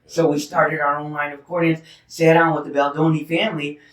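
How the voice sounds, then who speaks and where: A chatty man tells stories in a relaxed interview, laughing often and thanking his hosts. His voice sits a little high for a man. He is caught from a distance in a roomy space. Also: a little fast. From Holland, Michigan. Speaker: guest musician. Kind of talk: casual interview storytelling. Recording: roomy sound, distant mic.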